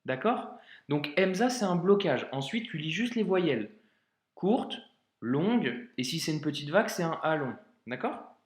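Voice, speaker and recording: French male tutor, slightly high-pitched, relaxed and encouraging, recorded with room echo. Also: unhurried. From France. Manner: casual, reassuring, frequent "hein" and "d'accord". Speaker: Arabic reading teacher. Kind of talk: friendly tutorial speaking directly to the learner.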